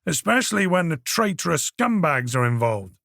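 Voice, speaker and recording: A man's voice: a little high, big pitch swings, moderate pace, gravelly, fairly bright tone, dry recording, clean studio sound, exaggerated voice. A man doing a big, wry friend. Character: large man, dry joking friend.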